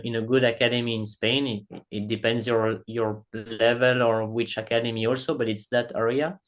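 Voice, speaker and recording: A young man from Belgium talks through his answers in a relaxed, conversational interview. His phrasing is a little non-native, and he often restarts a word or two before going on. His voice sits at an ordinary middle pitch. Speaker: professional padel player, guest.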